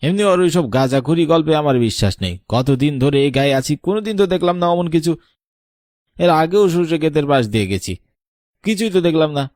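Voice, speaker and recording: A man's voice: a little high, expressive, fairly quick, a little mellow, no room sound, lightly acted. Man acting a dismissive village husband, dry sound. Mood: dismissive, reassuring. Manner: dismissive, reassuring his wife. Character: dismissive, skeptical village husband disparaging his drunkard brother.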